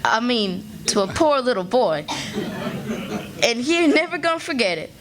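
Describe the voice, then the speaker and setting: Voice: medium pitch, very animated, moderate pace, crowd noise, natural voice, poor audio. A performer voicing a young boy posing as a meek little girl in a live radio play, with a folksy, faltering drawl. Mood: sheepish. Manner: folksy Southern rural dialect, faltering. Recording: stage radio play with music behind.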